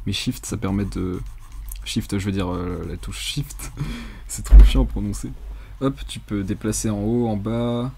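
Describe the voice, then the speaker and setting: A young man giving a casual, unscripted software tutorial in a hesitant, rambling, colloquial way, with a slightly husky voice. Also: fairly low pitch, measured pace, noisy background. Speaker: tutorial presenter.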